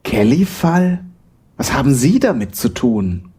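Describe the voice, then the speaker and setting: A stern male senior lawyer played by a man in an audio drama. His husky, mid-pitched voice is curt, authoritative and dismissive. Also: sing-song, unhurried, dark tone, exaggerated voice. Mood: irritated. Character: stern male law firm boss, dismissive. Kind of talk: German audio drama acting.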